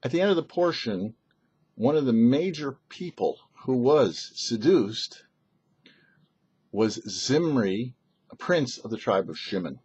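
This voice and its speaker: Husky, animated man teaching scripture. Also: medium pitch, slow pace, lo-fi sound. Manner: didactic, rhetorical questions. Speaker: rabbi, show host. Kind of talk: short scripted Torah teaching.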